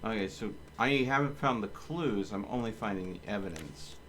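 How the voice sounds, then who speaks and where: Bright-voiced man streaming a game. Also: lowish voice, expressive, moderate pace, a little hiss or hum. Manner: talks to himself in short running remarks. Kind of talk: live gameplay commentary.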